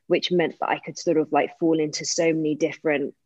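A woman from England, an actor telling her story as an interview guest in chatty, animated bursts full of fillers and restarts. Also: low voice, fairly quick, breathy edge, no room sound. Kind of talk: casual interview chat. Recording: dull lo-fi recording.

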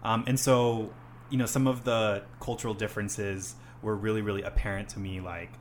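A man's voice: fairly low pitch, level tone, moderate pace, a little hiss or hum. A young man hosting a casual chat, rambling with many "um"s and "you know"s. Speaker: host, English teacher.

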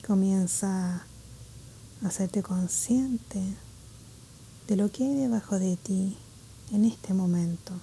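A woman guiding a meditation in a calm, gentle, measured way, with a soft voice that turns breathy at phrase ends. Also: mid-range voice, a little hiss or hum, low-quality recording. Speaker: meditation guide. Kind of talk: guided meditation narration.

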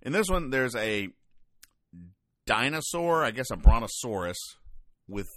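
A man hosting a podcast, giving casual, wry comedic commentary in a deadpan way. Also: mid-range voice, unhurried, dry recording. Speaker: podcast host. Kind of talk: casual comedic commentary, explaining comic strips.

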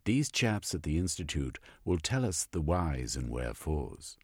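A man's voice: extremely low pitch, very animated, measured pace, darkish tone, slightly rough, no room sound, heavily acted. Brief: cocky upper-class adventurer, read by a male narrator, pompous and breezy, dry close sound. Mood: jaunty. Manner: jaunty, upper-class bluster. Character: pompous, bluff gentleman explorer.

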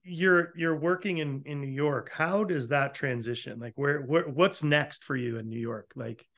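A man's voice: on the high side, unhurried, vocal fry, fairly bright tone. A man from the northeastern United States interviewing a chef, asking long, enthusiastic questions with frequent restarts. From Newport, Rhode Island area of the US. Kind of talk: conversational interview.

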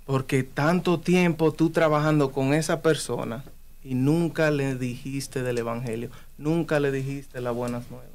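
A man's voice: medium pitch, fairly even intonation, unhurried, darkish tone. A man speaking with passion and emphasis about his faith in a conversation. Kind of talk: earnest conversational preaching.

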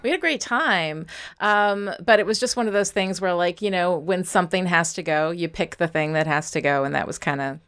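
Lady podcaster, slightly low voice, super informal and candid, lots of little asides. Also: fairly quick, a bit bright, smooth. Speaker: writing coach and podcaster. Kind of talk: casual chat.

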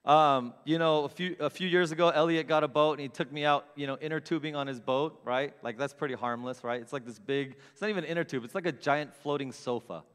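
Animated male preacher in a reverberant hall. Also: fairly high pitch, fast, few pauses, clean tone. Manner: animated, self-mocking storyteller with big swings in loudness. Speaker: pastor. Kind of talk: storytelling sermon. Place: church sanctuary with long reverb.

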